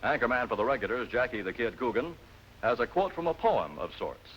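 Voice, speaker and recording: A man's voice: medium pitch, very animated, fairly quick, fairly bright tone. A man reading scripted announcements for a television quiz. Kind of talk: scripted game show announcement. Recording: dull noisy old TV soundtrack.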